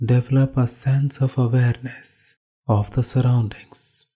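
Indian guy doing guided yoga meditation, really soft dark voice, slow and calm, leaves gaps between phrases. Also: mid-range voice, husky. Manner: calm, unhurried pauses between short phrases. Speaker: yoga nidra meditation instructor.

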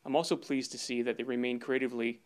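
A man's voice: mid-range voice, flat delivery, quick pace, smooth. A man in his fifties, a production coordinator, giving a scripted address to camera. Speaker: film production coordinator presenting. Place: indoors before a curtain backdrop.

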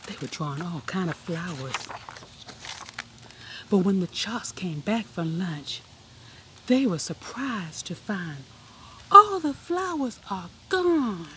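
Expressive, slow-reading woman storyteller. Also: on the low side, a little hiss or hum. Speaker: storybook reader. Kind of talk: children's read-aloud narration.

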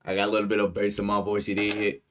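A man's voice: fairly low pitch, monotone, very fast, fairly bright tone. Young American male streamer, headset mic, loose rambling jokey reaction chatter. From United States. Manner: loose, rambling and joking, talking to chat. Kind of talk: casual live-stream commentary.

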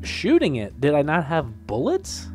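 A young man, sniffling from a cold, talks his way through a game with a lively, swinging voice, reading its text aloud and swearing when it confuses him. Faint game music plays behind him. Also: fairly high pitch, measured pace. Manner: swearing, laughing, easily flustered. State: getting over a cold, sniffling. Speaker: gameplay commentator. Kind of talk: casual let's play commentary, reading game text aloud.

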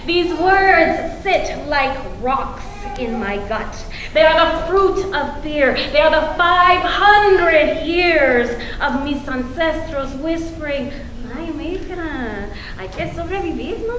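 A middle-aged woman giving an expressive, mock-solemn dramatic reading of a prayer-like poem into a stage microphone. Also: sits high, slow, hardly any pauses. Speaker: poet reading at a tribute event. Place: stage in a meeting hall with seated audience. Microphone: stand microphone. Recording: echoey hall with some background noise.

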